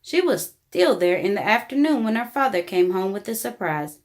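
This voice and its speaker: A woman reading a children's book aloud in an expressive, deliberate storytelling style. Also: medium pitch. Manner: animated, deliberate storybook delivery. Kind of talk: expressive read-aloud narration for young children.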